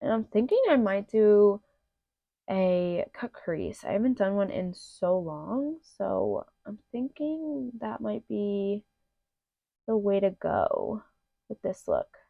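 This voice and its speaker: A woman's voice: mid-range voice, big pitch swings, slow pace, creaky, dry recording. A young woman chatting through a makeup tutorial in a casual, filler-heavy way, sounding a little nasal from allergies. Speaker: beauty vlogger. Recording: slightly muffled dry home recording.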